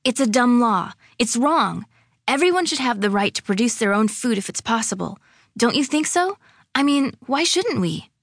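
A woman's voice: mid-range voice, a little slow, no room sound, studio quality, pitched above the performer's own voice, natural voice. A woman reading a storybook, voicing a secretive, determined young girl who speaks earnestly and insistently.

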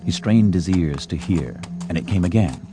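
A man with a deep, low voice narrates a dramatized western tale. His delivery is scripted and measured, like a storyteller. Music plays clearly behind him. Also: lively intonation.

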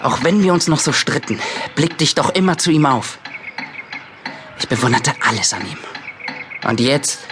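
A man plays a concerned younger brother in a radio play. He speaks earnestly at a medium pitch, with noticeable noise in the background. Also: expressive, moderate pace, long pauses, natural voice. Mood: worried, disappointed. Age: young adult. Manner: earnest, troubled. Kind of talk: German audio drama acting.

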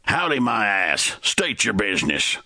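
Man voicing a barking desert gunman, raised from a low creaky voice, lively delivery. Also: measured pace, no room sound, clean studio sound, exaggerated voice. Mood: menacing. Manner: barking, threatening. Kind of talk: storytelling.

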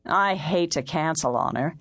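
A woman voicing a breezy, blunt woman friend in an audiobook story, with a flippant, brisk manner. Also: deep voice, a bit bright, no echo, heavily acted. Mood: breezy, teasing. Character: breezy, blunt, twice-married female friend.

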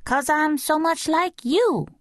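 A woman's voice: sits high, slow pace, dry recording, clean studio sound, voice pushed up. A woman voicing a bold young girl in a storybook reading, with a small lisping voice, childish grammar and a self-possessed manner. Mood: matter-of-fact. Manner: baby-talk grammar, coolly self-possessed. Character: bold little girl, soft-spoken but fiery-tempered.

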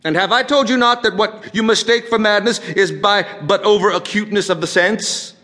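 An obsessive madman confesses his crime with feverish glee, as acted out by a man. His mid-pitched voice is theatrical and halting, lingering on words and repeating them. It is delivered as a gothic horror monologue. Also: lightly acted. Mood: furious, manic. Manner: theatrical, halting, with drawn-out words and stammered repetitions.

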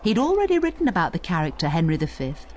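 A woman delivers scripted educational narration with a very animated, varied pitch. She explains points emphatically, as a tutor speaking straight to the listener. There is faint noise behind her. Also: fast talker. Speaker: narrator and tutor of an audio study guide.

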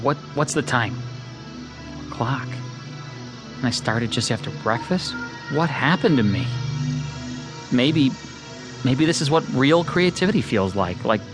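A man's voice: mid-range voice, lightly acted. Earnest, troubled artist played by a man, music underneath. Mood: bewildered. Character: obsessed, lonely male amateur artist, broke and self-doubting. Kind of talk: dramatized audio drama, first-person narration and dialogue.

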